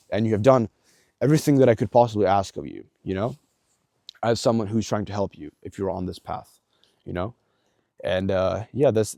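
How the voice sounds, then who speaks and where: A man's voice: lowish voice, dark tone, dry recording, studio quality. A young man giving a casual motivational talk straight to the viewer, blunt and earnest, swearing often and filling gaps with "like" and restarts. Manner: blunt, heavy swearing, many fillers and restarts; earnest, coaching tone aimed at viewer. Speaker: self-improvement vlogger.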